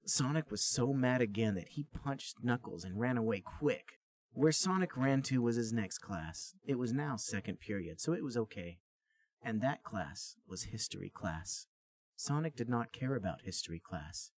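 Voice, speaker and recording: Husky man narrating with lively pitch. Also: normal speed, no echo. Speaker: podcast host reading a story. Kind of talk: comic read-aloud narration.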